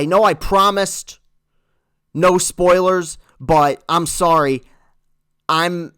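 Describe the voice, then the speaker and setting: A man reviewing a movie in casual, earnest talk, halting and repeating words as he goes. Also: fairly high pitch, a bit bright, clean tone, dry recording, clean studio sound. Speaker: film reviewer.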